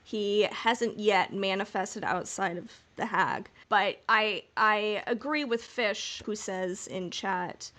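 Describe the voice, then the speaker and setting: A woman's voice: mid-range voice, slow pace, few pauses, sharp tone. A woman podcast co-host chatting casually in a playful, informal way about something she follows as a fan.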